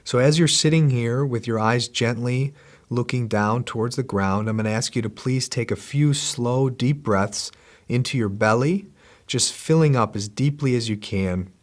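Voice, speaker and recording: A middle-aged man guiding a meditation class with slow, gentle, repetitive instructions. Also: medium pitch. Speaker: meditation teacher.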